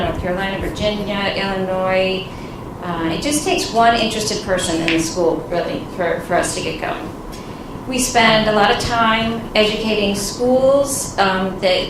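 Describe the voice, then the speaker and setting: Woman, earnest informal panelist, distant voice, echoey room, background noise. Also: mid-range voice. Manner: earnest, informal, with fillers and light laughs. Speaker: nonprofit founder, panelist. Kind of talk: conference panel talk. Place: conference room.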